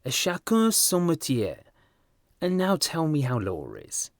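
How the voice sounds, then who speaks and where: An amused gentleman painter performed by a male audiobook reader who teases with breezy confidence. The pitch rides high and swoops around, with emphatic, flamboyant phrasing in a very dry recording. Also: wildly swooping pitch, a little slow, no echo, exaggerated voice. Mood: breezy, assured. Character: amused, worldly, teasing young gentleman painter. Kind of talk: audiobook character dialogue.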